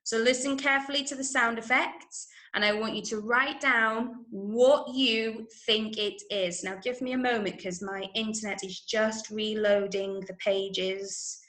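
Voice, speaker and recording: Echoey room, woman, English accent, higher-pitched voice, encouraging teacher, clear instructions, interactive online workshop. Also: fairly even intonation, unhurried, few pauses, a bit bright. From Manchester, UK. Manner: encouraging, repeats pupils' answers with praise; clear step-by-step instructions to children. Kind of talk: interactive online lesson to pupils. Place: room, online video lesson.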